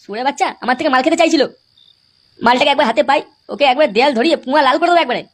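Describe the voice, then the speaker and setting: Performer doing a very high cartoon village hothead, crude, blustering, excitable, clear background noise. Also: racing, natural voice. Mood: angry, aggrieved. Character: foul-mouthed, hot-headed, excitable cartoon village troublemaker, cowardly when scared. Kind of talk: comic cartoon dialogue. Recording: clearly noisy cartoon soundtrack.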